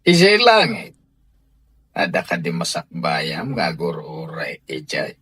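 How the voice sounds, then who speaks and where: A man's voice: deep voice, wildly swooping pitch, slow pace, a bit bright, slightly rough, heavily acted. A man with an Ilocano accent playing a firm, sanctimonious husband and head of household in a radio drama. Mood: preachy.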